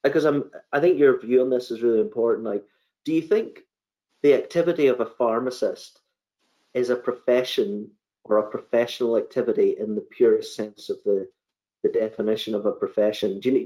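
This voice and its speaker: A man's voice: mid-range voice, brisk, warm tone, poor audio. A man hosting a pharmacy podcast, chatty and rambling, often repeating his words as he asks questions. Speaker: podcast host. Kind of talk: casual interview.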